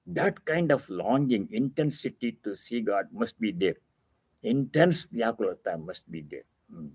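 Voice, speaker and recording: A man with an Indian accent teaching scripture as a swami, explaining terms patiently and repeating key phrases for emphasis, with deliberate pauses. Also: on the low side, sing-song, a little mellow, slightly rough, no echo. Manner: explains Sanskrit terms didactically. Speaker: swami giving a religious discourse. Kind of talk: scripture reading with devotional commentary.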